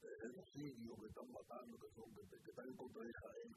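Animated Puerto Rican radio host. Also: male voice, extremely high pitch, fairly quick, heavy background noise. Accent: Puerto Rican Spanish. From Puerto Rico. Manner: animated, questioning, trails off and repeats words.

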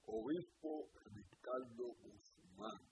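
A Dominican-born man hosts a talk show, his pitch swinging widely as he jumps in with quick, teasing remarks. His voice sits in a middle register. Background noise runs clearly underneath. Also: big pitch swings. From Dominican Republic. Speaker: host. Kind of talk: casual radio talk show.

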